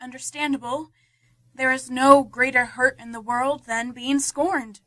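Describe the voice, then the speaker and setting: Woman doing a dramatic young writer girl, high and slow, bright voice, dry sound. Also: steady tone, dry recording. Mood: earnest, dramatic. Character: Helen, a dreamy, earnest young woman writer. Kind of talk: amateur radio play.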